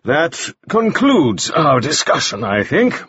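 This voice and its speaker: Cold calculating jailer, man doing the voice, slow and probing, husky. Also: fairly high pitch, huge pitch swings, a bit bright, no echo, pitched above the performer's own voice, exaggerated voice. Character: stern, calculating male jailer. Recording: dull lo-fi recording.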